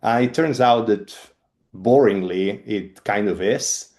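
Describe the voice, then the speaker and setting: A man's voice: fairly low pitch, takes it slowly, darkish tone. A man from Catalonia in his thirties with a Spanish accent, giving a webinar talk as a biologist, hesitant with many ums and restarts. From Spain, Catalonia. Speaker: guest speaker, evolutionary biologist. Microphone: video call.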